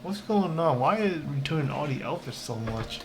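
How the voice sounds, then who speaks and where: A man's voice: a little high, lively intonation, fairly quick. A man casually reacting as he plays a video game, in short offhand comments. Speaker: game streamer. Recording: game music behind the voice.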